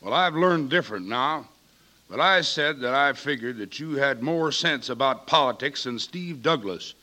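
An actor playing a defensive, evasive small-town American witness in a 1940s radio drama, talking in a guarded, colloquial way. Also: male voice, mid-range voice, expressive, normal speed, sharp tone.

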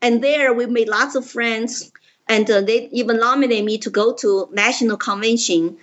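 Middle-aged woman with a Chinese accent, a bit high-pitched, earnest and emphatic interview guest on a slightly muffled lo-fi line. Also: steady tone, moderate pace, a bit bright. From China. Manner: earnest, emphatic, simple grammar. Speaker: political candidate, guest. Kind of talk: interview storytelling.

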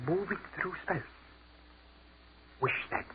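An actor playing an earnest army captain who has died, speaking urgently in 1940s radio-drama style. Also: male voice, sits high, slow pace. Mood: wondering. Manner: urgent and stammering, then rapturous. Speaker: lead actor. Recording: tinny old radio broadcast with hiss.

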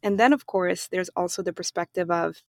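A woman from Germany hosting her own podcast, talking through her thoughts in a calm, reflective solo monologue. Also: lowish voice, moderate pace, no echo, clean studio sound. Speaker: podcast host.

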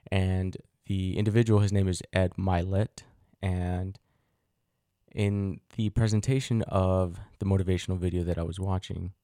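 A man's voice: low-pitched, warm tone, no echo, studio quality. A middle-aged man hosting a solo podcast, talking thoughtfully and asking himself rhetorical questions, with frequent fillers. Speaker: podcast host. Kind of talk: reflective solo podcast monologue.

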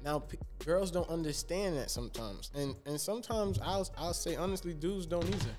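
A man's voice: on the high side, normal speed, a little mellow, soft background music. A man, speaking emphatically and stumbling over repeated words as he makes his point. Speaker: podcast co-host. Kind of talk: casual chat.

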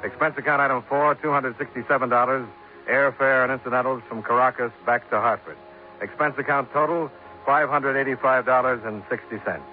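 An actor playing a tough, cool-headed American insurance investigator, terse and dry, in 1950s radio detective style. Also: male voice, medium pitch, fairly even intonation, fairly quick, soft background music, close to an everyday voice. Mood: dry narration. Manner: terse, hard-boiled, dry. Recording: tinny narrow-band old radio recording.

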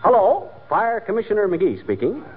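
An actor with an American accent playing a boastful, bumbling small-town husband, pompous and folksy, in 1940s radio comedy. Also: male voice, high voice, huge pitch swings, normal speed, husky, full character voice. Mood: important, chummy. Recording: tinny, noisy old radio recording.

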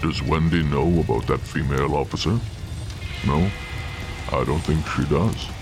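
A man playing a bitter, weary, centuries-old Santa Claus with a slow, taunting delivery in an audio drama. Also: rumbling low, fairly even intonation. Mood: knowing, menacing. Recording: music and rain under the voice.

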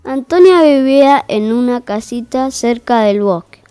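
A woman's voice: fairly high pitch, expressive, measured pace, warm tone, smooth, faint music behind. A woman playing a mild, easily flustered fairy-tale narrator in a comic audio story, hesitant and accommodating. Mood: storytelling.

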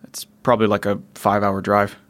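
A man's voice: lowish voice, monotone, fairly quick, a bit bright, natural voice. A man playing a weary, protective survivor father in an audio drama, plain-spoken and earnest. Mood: quiet, caring. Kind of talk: naturalistic audio drama acting.

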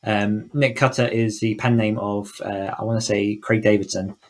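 A man's voice: lowish voice, fairly even intonation, normal speed. A man speaking casually as an interview guest, talking in an enthusiastic, effusive way. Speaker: podcast guest, horror author and coach.

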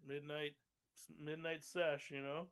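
A man's voice: a little high, level tone, measured pace, fairly bright tone, clean tone. A man co-hosting a podcast in casual chat, teasing his partner with short quips.

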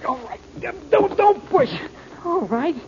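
A man's voice: extremely high pitch, very animated, measured pace, heavily acted. An actor with an American accent playing a nervous, pleading small-time crook in an old-time radio drama, stammering and repeating his pet phrase. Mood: frightened, pleading. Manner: stammering, repeats a verbal catchphrase. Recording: noisy, muffled old radio recording.

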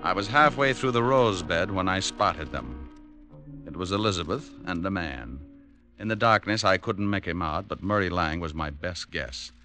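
An American actor playing a wry, tough private detective in a 1950s radio drama, with laconic hardboiled narration. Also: male voice, deep voice, animated, moderate pace, pushed and bright, soft background music, heavily acted. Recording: vintage radio drama recording.

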